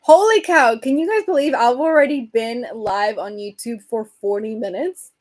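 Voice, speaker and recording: A woman hosting a casual livestream, rambling and answering viewer comments, her voice getting hoarse from talking so much. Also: fairly high pitch, moderate pace. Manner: chatty, rambling, reads and answers comments, laughs often. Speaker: livestream host chatting with viewers.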